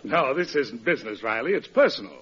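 An American man in middle age playing a polite, flustered company boss in a classic radio sitcom, speaking haltingly. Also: mid-range voice, sing-song, a bit bright, husky. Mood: genial, awkward. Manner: hesitant, halting. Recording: muffled vintage radio recording.

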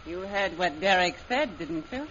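An actress playing a cool, scheming adventuress in 1930s radio style, with a sultry, taunting manner. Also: female voice, on the low side, animated, moderate pace, fairly bright tone, slightly rough. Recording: muffled, noisy old radio recording.

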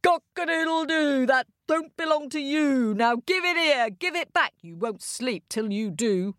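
A bold, cheeky little rooster from a children's story. The voice is high and very lively, with drawn-out crows and defiant sing-song demands. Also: female voice, no echo, studio quality. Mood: defiant, insistent. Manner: shrill drawn-out crowing and chanting rhyme.

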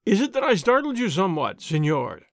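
A man's voice: sits high, very animated, brisk, a bit bright, no echo, clean studio sound, exaggerated voice. A man voicing a proud young nobleman with a thin voice, polite but cool and haughty.

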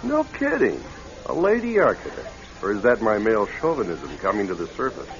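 An actor playing a genial, chatty gentleman broker in a classic radio drama. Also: fairly low pitch, huge pitch swings, brisk. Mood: genial, interested. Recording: dull old radio, busy crowd behind. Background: crowded dining room chatter.

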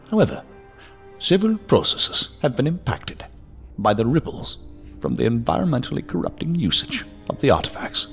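An imperious, inhuman leader in a sci-fi radio drama. He is clinical and exact, his medium voice moving expressively over faint music. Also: medium pitch. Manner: clinical, precise, stilted. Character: cold, imperious, machine-like leader of numbered entities. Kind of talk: formal audio drama acting.

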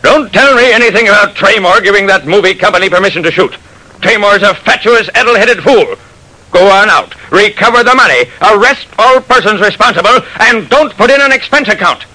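An actor playing a gruff, scornful man of authority in a classic radio drama, blunt and scolding. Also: high-pitched, lively intonation, fairly quick, pushed and bright, full character voice, low-quality recording. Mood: stern, scolding. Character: gruff, humorless, scornful male authority figure.

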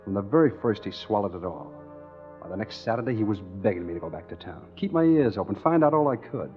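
A man's voice: fairly low pitch, animated, fast talker, lightly acted. An American actor playing a tough, scheming engineer who tells his own swindle in hard-boiled 1940s radio drama style. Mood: hard-boiled, confiding. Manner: hard-boiled first-person storytelling. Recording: dull 1940s radio recording with music.

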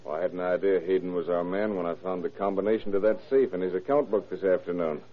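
An actor playing a shrewd, calm police detective in a 1930s radio crime drama, gruff, wry and commanding. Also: male voice, deep voice, quick pace, close to an everyday voice. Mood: wry, stern. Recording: muffled old radio broadcast recording.

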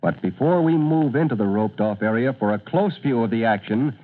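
A man's voice: medium pitch, animated, brisk. A man narrating a classic radio drama as announcer, grave, theatrical and emphatic. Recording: tinny vintage radio recording.

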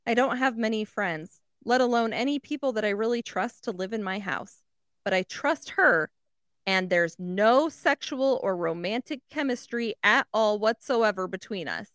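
A woman on a podcast reading a listener's question aloud in a plain, even voice. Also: medium pitch, moderate pace, clear, resonant tone, dry recording, studio quality.

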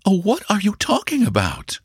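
A man voicing a gentle, kind young female stenographer who speaks softly. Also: medium pitch, big pitch swings, moderate pace, dry recording, studio quality, voice pushed up. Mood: soft tender. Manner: soft-spoken.

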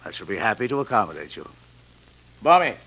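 An actor playing a sinister, courteous rich villain in old radio drama, speaking quietly and formally without hurry. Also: male voice, fairly low pitch, very animated, fast, gravelly, breathy edge, full character voice. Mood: polite command. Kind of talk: 1940s-50s radio drama acting. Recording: dull old radio recording.

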